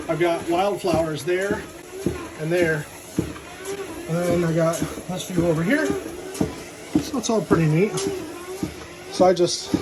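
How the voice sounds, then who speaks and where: A man with a rather high-pitched voice talks in a loose, casual vlog style. He wanders between thoughts and slips in self-deprecating jokes. Clear ambient noise, with some vehicle sound, runs behind him. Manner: self-deprecating, jokey asides. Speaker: vlogger. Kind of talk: casual rambling personal vlog. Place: talking while out walking.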